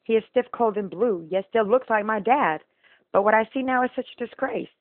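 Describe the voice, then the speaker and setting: A woman's voice: a little high, moderate pace, no echo, narrow, tinny audio. A woman performing spoken-word slam poetry in an emotional, rhythmic, rhyming delivery. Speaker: slam poet.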